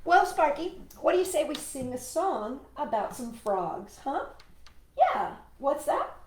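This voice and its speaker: High, animated woman hosting children's storytime. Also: takes it slowly. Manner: expressive, playful, talks directly to young listeners. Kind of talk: read-aloud storytime for children with chatty asides. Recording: echoey room.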